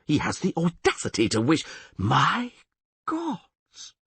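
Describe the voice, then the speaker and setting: A man voicing a blustering, panicky middle-aged male town mayor in a fantasy audiobook, shouting and frantic. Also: a little high, huge pitch swings, takes it slowly, husky, no echo, voice pushed up, heavily acted. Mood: stern, mocking.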